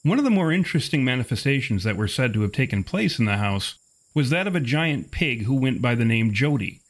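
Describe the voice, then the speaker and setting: Mid-pitched man as game master. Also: very animated, brisk. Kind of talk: narrating an improvised tabletop role-playing game.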